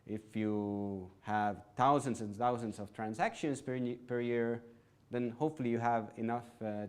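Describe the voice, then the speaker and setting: A man's voice: on the low side, darkish tone. A man giving an informal presentation at a business event, talking casually with many fillers and self-corrections. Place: event venue, live audience.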